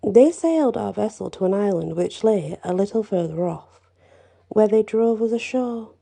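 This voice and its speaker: A woman storyteller reading a folktale aloud in first person, hushed, with whispered passages and casual asides. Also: medium pitch, lively intonation, normal speed, vocal fry.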